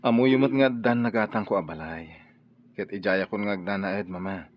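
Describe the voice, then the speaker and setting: A man's voice: fairly low pitch, moderate pace, no echo, highs cut off, natural voice. A man with a Filipino accent playing an earnest, defiant grown son in love, in an Ilocano radio drama. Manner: earnest, insistent. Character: defiant, lovestruck grown son standing his ground.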